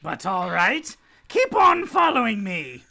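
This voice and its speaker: A man's voice: sits high, huge pitch swings, slow, gravelly, bright, forceful tone, exaggerated voice. A man playing a gleefully deranged, vengeful poisoner in a radio play, gloating and ranting theatrically. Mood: gloating, menacing.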